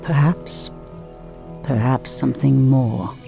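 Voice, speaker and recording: A woman's voice: a bass voice, steady tone, slow, vocal fry, over music, heavily acted. A woman playing a commanding, solemn night elf priestess who leads warrior sisters in a fantasy game cutscene, speaking formally and with authority. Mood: wary.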